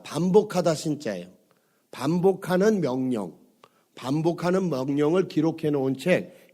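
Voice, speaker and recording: Hoarse middle-aged pastor preaching. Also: male voice, sits high, a little mellow. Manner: conversational, many fillers, asks the congregation questions. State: hoarse from a cold. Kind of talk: sermon. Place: church sanctuary.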